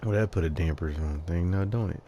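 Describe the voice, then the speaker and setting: A man's voice: sits low, quick pace, mellow, lo-fi sound, slight background noise. A man giving casual, informal gameplay commentary, often muttering to himself as he plays. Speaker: gamer commentating a playthrough. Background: video game ambience.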